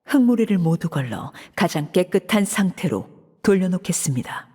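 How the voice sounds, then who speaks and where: A woman voicing a cold, composed female leader in a video game, speaking in a measured, formal, controlled way. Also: on the low side, big pitch swings, warm tone, breathy edge. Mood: cool. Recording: reverberant game audio.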